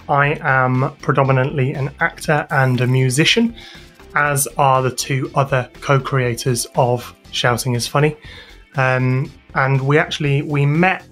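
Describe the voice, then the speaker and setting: A man's voice: medium pitch, a bit bright. A British man giving thoughtful, slightly hesitant explanatory answers as an interview guest. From United Kingdom. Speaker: guest, podcast sound designer and composer.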